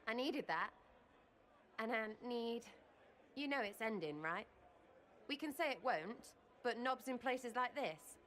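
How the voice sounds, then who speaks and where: A fairly high voice for a scrappy young rebel woman in a video game. She is cheeky and irreverent, talking in blunt, playful, slangy bursts. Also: fairly bright tone. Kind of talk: video game cutscene acting.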